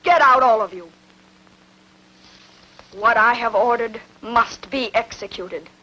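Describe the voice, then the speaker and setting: A woman's voice: a little high, takes it slowly, bright, forceful tone, dull audio, exaggerated voice. A woman playing an imperious, ruthless queen in a film dub, with a commanding regal delivery. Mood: imperious anger. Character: imperious, ruthless queen pining for lost lover.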